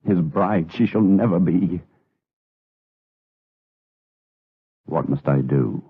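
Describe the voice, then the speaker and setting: An actor playing a lustful, guilt-tormented monk in a gothic radio drama, with breathy, theatrical, impassioned delivery. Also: male voice, low voice, unhurried, no echo. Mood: obsessive. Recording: tinny, phone-like radio drama recording.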